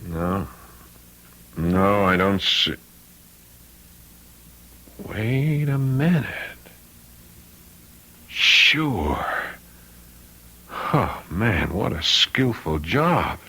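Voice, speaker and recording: Shrewd lawyer, radio mystery drama, deep voice, rough edge, probing and insistent. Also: male voice, sing-song, extremely slow, long pauses, bright, forceful tone, heavily acted. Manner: blunt, probing, coolly insistent. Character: shrewd, dogged male lawyer investigating a crime. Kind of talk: old-time radio mystery acting.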